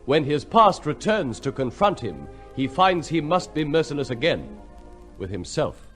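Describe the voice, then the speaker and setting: Male radio announcer, 1950s style, formal, declamatory, raised pitch, sweeping intonation, music behind. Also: very animated, a little slow, a bit bright. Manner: declamatory, dramatic. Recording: vintage radio broadcast with music.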